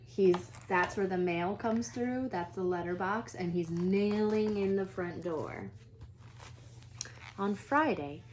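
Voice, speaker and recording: A woman reading a children's book aloud as a storyteller, dramatic and expressive, sometimes hushed for suspense. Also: a little high, breathy edge. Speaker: read-aloud storyteller, teacher.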